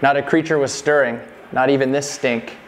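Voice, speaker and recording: A man reading a scripted rhyming holiday poem in measured storytelling phrases. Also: mid-range voice, steady tone, a little hiss or hum, low-quality recording. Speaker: reader of a Christmas poem parody. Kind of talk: scripted verse narration.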